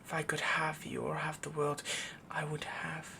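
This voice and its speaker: A man playing a doting, possessive yandere werewolf boyfriend in an intimate roleplay audio, soft-spoken, whispery and adoring. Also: fairly high pitch, monotone, normal speed, vocal fry, a little hiss or hum, heavily acted. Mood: devoted, possessive.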